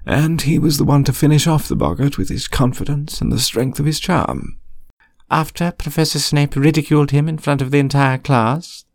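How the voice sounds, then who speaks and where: A man voicing a stern older female professor in a story, with a crisp, formal, firm delivery. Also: on the high side, moderate pace, dry recording, studio quality, pitched above the performer's own voice. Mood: incredulous, pointed.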